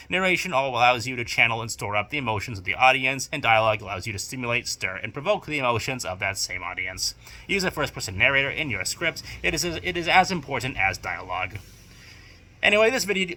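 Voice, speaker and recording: A man's voice: medium pitch, sharp tone. A young man reading a scripted opinion essay as narrator, in a formal, emphatic, bookish way. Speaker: video essayist and audio drama producer.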